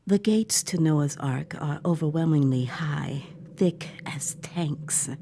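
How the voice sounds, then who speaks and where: A woman acting the uneasy, eerily giddy narrator of a dystopian tale in measured, dramatic, sometimes breathy narration. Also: low voice, noisy background. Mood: uneasy, solemn. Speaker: actor narrating a dystopian story.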